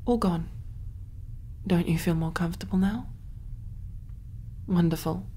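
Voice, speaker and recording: A woman voicing a kind, calm alien medic in a soft, breathy, reassuring ASMR roleplay style. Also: lowish voice, measured pace, slight background noise, natural voice. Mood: soothing, attentive. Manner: gentle, hushed, reassuring, often near whisper.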